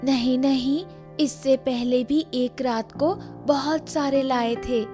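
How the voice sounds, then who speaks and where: A woman voices a sweet little village girl from a cartoon fable. She speaks slowly in a high, childlike voice with an innocent, artless manner. Soft music is faint behind her. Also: steady tone. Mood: innocent, cheerful. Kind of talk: Hindi cartoon moral-story voice acting.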